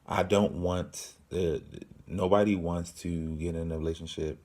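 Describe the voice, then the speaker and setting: A man in his late twenties hosting his own channel, answering viewer questions in a slow, earnest way with many ums and pauses. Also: low-pitched, fairly even intonation, darkish tone. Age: 20s. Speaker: channel host. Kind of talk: casual Q and A to camera.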